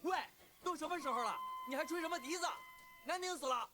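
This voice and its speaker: An actor voicing an excitable, brash young character in an animated series. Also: high voice, fast talker, lightly acted. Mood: indignant.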